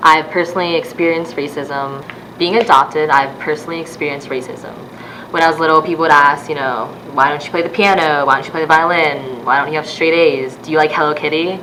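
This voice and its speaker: Freshman woman, 18, candid and conversational; low, bright-edged voice. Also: fairly quick, slight background noise, rough recording. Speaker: interviewee, university freshman. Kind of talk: casual interview answers.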